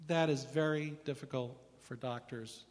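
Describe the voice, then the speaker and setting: A man's voice: mid-range voice, steady tone, unhurried. Emphatic male psychiatrist, echoing hall. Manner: emphatic, lecturing, challenging. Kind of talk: panel discussion. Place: large hall with an audience. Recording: long hall reverb.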